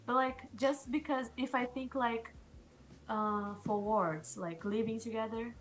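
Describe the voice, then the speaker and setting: A woman's voice: on the high side, steady tone, a little slow, faint music behind, poor audio. Giggly teen girl with a Brazilian accent. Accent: Brazilian Portuguese. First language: Portuguese. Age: teens. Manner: giggly, hesitant, lots of fillers. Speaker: guest. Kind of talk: casual video-call chat.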